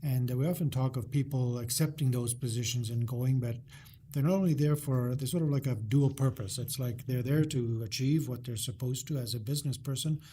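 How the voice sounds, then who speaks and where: Man, podcast host, relaxed interview talk, mellow tone, slight background hiss. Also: medium pitch. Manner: unhurried, with frequent filler sounds and listening murmurs.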